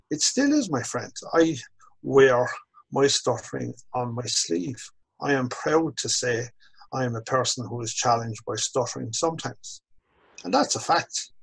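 A man in his sixties with an Irish accent, a course instructor telling his own story slowly and reflectively on a video call from a home office. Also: mid-range voice, creaky, breathy edge, poor audio. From Ireland. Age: 60s. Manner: measured, reflective, slows down on key phrases. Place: home office with bookshelves, indoors. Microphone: video call webcam.

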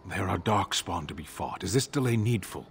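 An actor playing a stern, impatient warrior giant in a fantasy video game, blunt, formal and stoic, with a deep gravelly voice. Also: male voice, lively intonation, moderate pace, a little hiss or hum.

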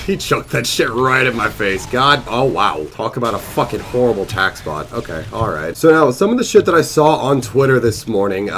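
A young man in his twenties with a congested, slightly nasal cold voice, chatting casually and swearing over his gameplay from a headset at his bedroom desk. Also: mid-range voice. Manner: chatty, swears a lot, bursts out at the game. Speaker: gaming YouTuber. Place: small bedroom gaming desk. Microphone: gaming headset.

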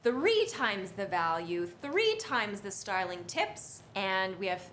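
Thirties woman, very lively intonation, effusive shopping-stream host, faint room noise. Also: medium pitch, slow. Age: 30s. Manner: animated, effusive. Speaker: presenter and model on a fashion shopping livestream. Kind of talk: live sales presentation, chatty. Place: indoor studio with brick wall, standing back from camera.

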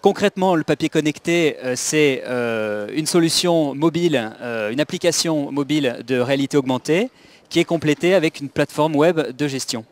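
A man's voice: fairly high pitch, normal speed. Earnest young man explaining his startup. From Paris. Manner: earnest explainer, many hesitations. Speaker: startup founder guest. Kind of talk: explaining a product in an interview. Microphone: handheld mic.

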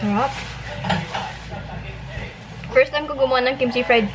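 A woman's voice: high-pitched, moderate pace, noisy background. A woman in her twenties with a Filipino accent, chatting informally and playfully in a casual home cooking vlog. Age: 20s. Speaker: vlogger. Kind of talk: casual cooking vlog chat, mixing Tagalog and English. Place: home kitchen and living room, indoors. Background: background music.

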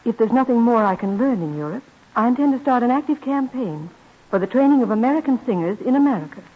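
A young American opera star, high and husky in voice, modest yet proud. She speaks with polite, formal earnestness in old-time radio drama style, on a muffled vintage recording. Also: expressive, fast talker. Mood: earnest, proud. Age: young adult.

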